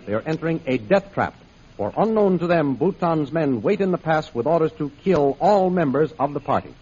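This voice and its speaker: A man's voice: fairly high pitch, expressive, brisk, a little mellow, breathy edge. A man narrating an old radio adventure serial as its announcer, in a formal, dramatic style. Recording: muffled old radio broadcast recording. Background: music.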